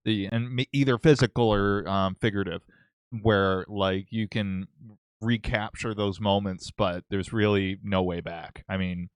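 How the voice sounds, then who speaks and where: A man's voice: fairly low pitch, steady tone, measured pace, clear, resonant tone, no room sound, clean studio sound. A man in his thirties, a podcast guest, speaking in a blunt, philosophical, matter-of-fact way. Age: 30s. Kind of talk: casual podcast chat.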